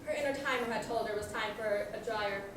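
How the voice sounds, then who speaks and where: A lovestruck, guilt-ridden husband acted by a woman tells his story with theatrical flourish in a student stage comedy. The voice is high and comes from well away from the mic, in a very echoey, noisy hall. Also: quick pace, recorded from a distance, natural voice. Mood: wry, mournful narration. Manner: theatrical, melodramatic, stammering under accusation. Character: lovestruck, guilt-ridden narrator named Manny, melodramatic husband. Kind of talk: student stage comedy, confessional narration and dialogue. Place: school theater stage, recorded from audience.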